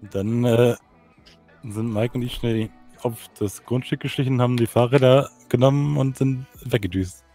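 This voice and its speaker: A man's voice: medium pitch, moderate pace, dark tone, faint music behind. A man chatting casually in character as a player in an online roleplay game.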